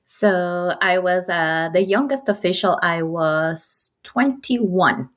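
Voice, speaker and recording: A woman's voice: on the low side, takes it slowly. A middle-aged woman from Ecuador with a Spanish accent tells her career story casually and animatedly as an interview guest. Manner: animated, chuckles often between phrases. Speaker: interview guest, nonprofit marketing executive.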